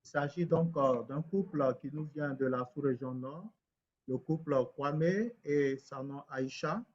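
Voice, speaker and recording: Higher-voiced man hosting a formal event. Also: measured pace, a little mellow. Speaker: master of ceremonies. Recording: slightly dull online call audio.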